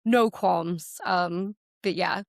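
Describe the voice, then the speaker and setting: A woman's voice: lowish voice, very slow, gravelly, dry recording, studio quality. A woman from Texas chatting casually as a podcast guest, informal and peppering her talk with filler words like "like" and "kind of". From Dallas, Texas, United States. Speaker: podcast guest, sports publicist, writer and presenter. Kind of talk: casual chat.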